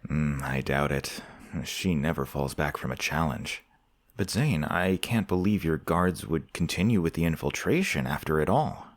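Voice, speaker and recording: An actor playing a courteous visiting prince in an audio drama, measured and formal in manner. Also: male voice, rumbling low, dry recording, clean studio sound. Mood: concerned. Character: courteous, composed visiting foreign prince, a candid friend.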